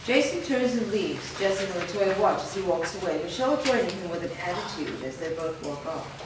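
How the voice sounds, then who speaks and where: A woman reading the stage directions aloud in a staged play reading, in an even, matter-of-fact way. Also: medium pitch, a little fast. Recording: echoey room, far mic, hiss.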